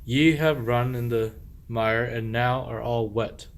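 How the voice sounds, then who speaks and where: A man acts a sly sheep thief in an old verse radio play. He speaks slowly, in archaic rhyme, putting on the airs of an innocent, hospitable husband. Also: medium pitch, steady tone. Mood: falsely hospitable.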